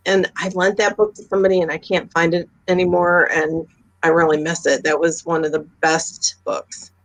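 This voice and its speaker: A woman's voice: on the low side, flat delivery, normal speed, poor audio. A woman in her fifties chatting casually, telling everyday anecdotes in a talkative way. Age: 50s. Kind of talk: casual chat.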